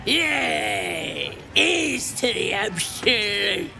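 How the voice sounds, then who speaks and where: A man playing a defiant, rowdy goblin drinker in a video game, loud and shouting. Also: high voice, lively intonation, very slow, gravelly, music in the background, exaggerated voice. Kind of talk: video game character acting.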